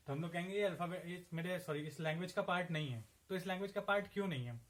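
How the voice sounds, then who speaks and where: Indian guy teaching a class, fairly high voice, keeps asking then answering himself. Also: fairly bright tone. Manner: explanatory, asks and answers his own questions. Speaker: assistant professor. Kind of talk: classroom lecture mixing Hindi and English.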